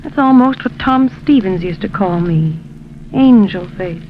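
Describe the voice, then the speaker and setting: A woman's voice: medium pitch, expressive, unhurried, close to an everyday voice. An actress playing a scheming, seductive gangster's moll in a 1950s radio crime drama, taunting, sneering and cooing at her man. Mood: taunting, dreamy. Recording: noisy, muffled 1950s radio recording.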